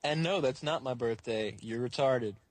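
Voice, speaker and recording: A man in his twenties hosting a podcast, chatting in a blunt, casual way with a husky voice. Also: mid-range voice, moderate pace, no echo. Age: 20s. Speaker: podcast host. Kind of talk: casual chat.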